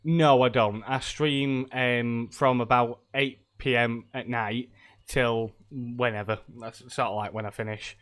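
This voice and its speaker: A man's voice: medium pitch, steady tone, measured pace, a bit bright. A middle-aged man, stuffy from a cold, chatting casually and rambling with lots of ums and laughs while live-streaming a game.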